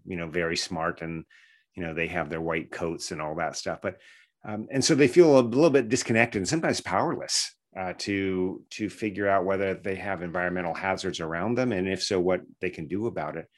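A man talking conversationally as an interview guest, explaining things step by step like a researcher. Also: deep voice.

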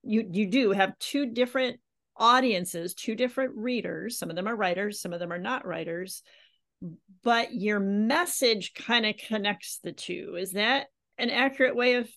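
A woman's voice: medium pitch, normal speed, fairly bright tone. Friendly woman podcast host. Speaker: podcast host and writing coach. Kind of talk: interview conversation.

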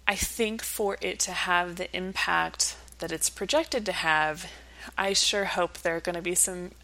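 Slightly low-voiced woman explaining as expert guest. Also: fairly even intonation, unhurried, gravelly, sharp tone, low-quality recording. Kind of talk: conversational interview explanation.

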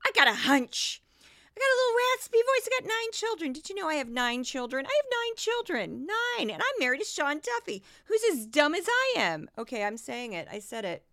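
A woman's voice: high-pitched, very animated, fairly quick, pushed and bright, dry recording, clean studio sound. A woman hosting a podcast, giving sarcastic, casual commentary between news clips. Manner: sarcastic, wry. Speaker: podcast host.

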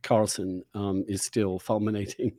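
A man hosting an interview, giving his opinions emphatically in halting phrases with repeated words. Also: lowish voice, flat delivery, dark tone, dry recording. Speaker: interview host.

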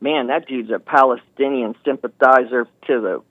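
A man in his fifties calling in, telling a story in a folksy, animated way and acting out the voices of the people in it. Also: mid-range voice, normal speed, dry recording. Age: 50s. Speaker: caller. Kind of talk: casual storytelling on a call-in show. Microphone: phone. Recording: tinny phone line.

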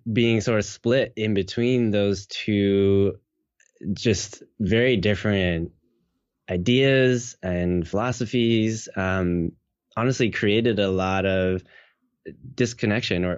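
A young man with a very low voice talks through a casual interview, relaxed and hesitant, often pausing and restarting. The audio sounds dull and lo-fi with a dry, close sound. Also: clear, resonant tone, no room sound. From Seattle, United States. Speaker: guest.

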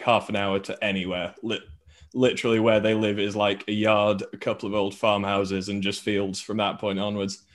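A man co-hosting a podcast, chatting casually in a slangy, laddish way. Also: fairly low pitch. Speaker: podcast co-host. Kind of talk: casual chat.

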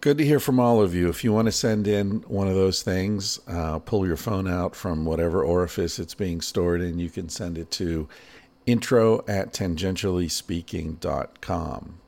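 A man with a very low, gravelly voice hosts his own podcast. He talks casually and playfully, cracking jokes between listener greetings and an ad read. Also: normal speed. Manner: playful, joking, animated asides. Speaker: podcast host.

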